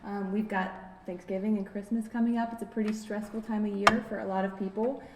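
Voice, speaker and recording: A woman's voice: mid-range voice, steady tone, brisk. A young woman hosting a workplace lunch event, casually welcoming the audience and introducing the guest speaker at a podium in a conference room. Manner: casual, uses fillers. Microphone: podium microphone. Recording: roomy hall, faint noise.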